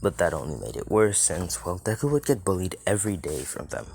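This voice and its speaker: A man's voice: low voice, big pitch swings, brisk, vocal fry, darkish tone. A man narrating a fan-made story in a loose, chatty way, swearing casually and drifting into side remarks, with a scratchy voice.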